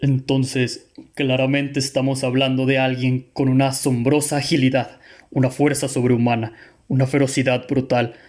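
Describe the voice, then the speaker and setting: A man's voice: mid-range voice, flat delivery, normal speed, a little mellow, close to an everyday voice. An actor playing a hesitant male helper in a radio drama, who stammers and hesitates as he speaks. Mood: summing up.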